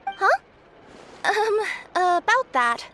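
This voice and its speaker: A woman's voice: wildly swooping pitch, very slow, bright, forceful tone, full character voice. A woman voicing a young, perky, easily flustered cat-spirit courier girl in a game dub, with a girlish voice. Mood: flustered, embarrassed. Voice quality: high, girlish. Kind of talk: English game dub voice acting. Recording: faint ambient noise.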